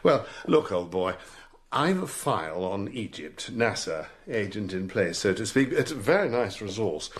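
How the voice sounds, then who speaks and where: An actor playing a patronising, shifty middle-aged army major in a radio drama. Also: male voice, lowish voice, big pitch swings, a little slow. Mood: shifty, persuasive. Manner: clubby, patronising, hesitant bluster. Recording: broadcast radio drama with faint noise.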